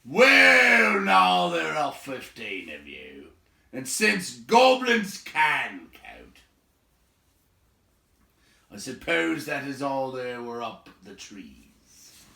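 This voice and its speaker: A man voicing a gruff, growling, impatient big man, a grudging host in a storybook, with emphatic, drawn-out phrasing. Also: on the high side, very animated, pushed and bright, voice pushed up, exaggerated voice. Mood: exasperated. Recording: roomy echo, distant from mic.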